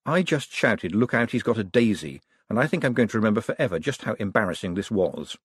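A man voicing a flustered, excitable and easily embarrassed man in an audiobook. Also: on the low side, brisk, husky, no room sound, clean studio sound.

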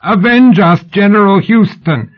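Man voicing a shrill, strained young London woman. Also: slow, muffled recording. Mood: shrill, desperate. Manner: declamatory, pleading.

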